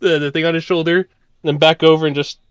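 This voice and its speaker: A man's voice: a little high, flat delivery, quick pace, clear, resonant tone, no echo. A man casually narrating his character's actions as a player in a tabletop game. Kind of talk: casual improvised roleplay narration.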